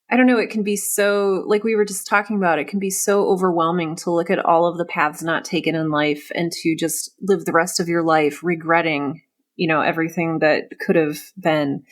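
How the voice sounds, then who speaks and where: A woman with a slightly low voice hosts a casual podcast interview. She talks informally and earnestly, effusive in how she responds to her guest. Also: fairly even intonation, moderate pace.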